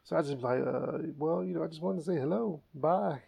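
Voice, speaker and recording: Creaky-voiced male podcast host. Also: on the high side, a little fast. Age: adult. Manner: laughs often, animated storytelling. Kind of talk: casual comedic chat.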